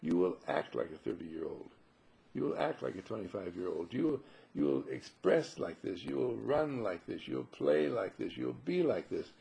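A man gives a spiritual talk in a very husky, gravelly voice with a mellow, dark tone. He teaches through rhetorical questions and leans on repeated phrases to drive each point home. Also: low voice, big pitch swings, moderate pace. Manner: rhetorical questions, emphatic repetition.

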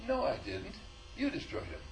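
A man's voice: on the high side, moderate pace, slight background noise, low-quality recording. A man giving an informal spiritual talk as a teacher, telling stories and acting out both sides of conversations with frequent chuckles. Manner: animated storyteller, acts out dialogue, chuckles often.

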